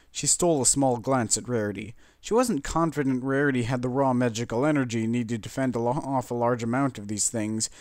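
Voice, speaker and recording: A man narrates a written story in a mid-pitched voice. The recording is dry, with very little room sound, and the delivery is that of a scripted reading. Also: no echo. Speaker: fan fiction reader.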